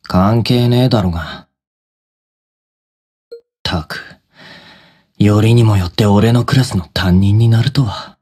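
A man voicing a blunt, surly, easily irritated high-school boy in an anime-style game, grumbling in rough speech. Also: slow pace, long pauses, dark tone.

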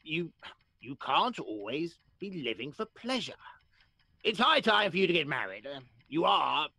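A crusty old English lord in a radio comedy, gravelly and rather high for a man. He swoops up and down as he stammers and barks in huffy bluster. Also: sing-song, measured pace, bright, forceful tone, no echo, heavily acted. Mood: scolding. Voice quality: creaky, gravelly. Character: blustering, irascible elderly English lord and father. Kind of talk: period comedy radio play.

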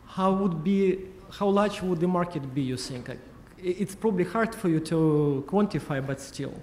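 A man's voice: high-pitched, moderate pace, darkish tone, slight background noise. A man in the audience asks a hesitant question through an aisle microphone in a large conference hall, repeating himself as he goes. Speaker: audience member asking a question. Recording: echoey hall ambience.

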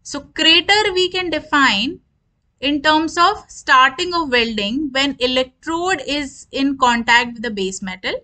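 Female lecturer, high-pitched and sharp, methodical technical explainer who restates key points. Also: animated. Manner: repetitive, deliberate explaining.

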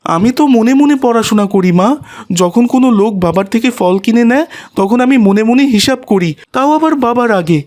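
An eager young schoolboy played by an actor from an animated cartoon. He speaks in an earnest, childlike way, always polite and dutiful toward his parents. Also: mid-range voice, normal speed, lightly acted. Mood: earnest, proud.

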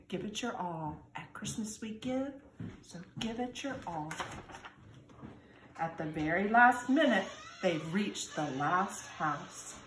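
A woman in her forties, a teacher, reading a children's storybook aloud in an expressive, singsong way. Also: medium pitch. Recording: echoey room with background noise.

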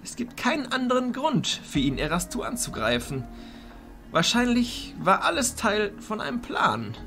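A man playing a stern, formal archchancellor of mages in a fantasy audio drama, speaking in a commanding, deliberate way. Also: high voice, wildly swooping pitch, vocal fry, sharp tone, soft background music, heavily acted. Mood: solemn, cautioning. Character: stern authoritative male archchancellor of illusionist mages.

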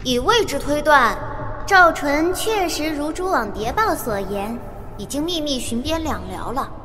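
Court seer for a wuxia drama: high voice, formal, measured, composed, in a reverberant space. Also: female voice, expressive. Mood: solemn. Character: composed seer reporting omens to the empress. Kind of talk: Chinese audio drama acting. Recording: long reverb, music behind.

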